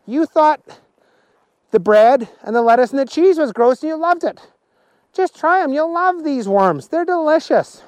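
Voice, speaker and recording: A man's voice: extremely high pitch, animated, a little slow, dry recording, pitched above the performer's own voice. A man voicing a cheerful, eager non-human villager in a children's story, coaxing and full of relish. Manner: gleeful, enthusiastic, coaxing.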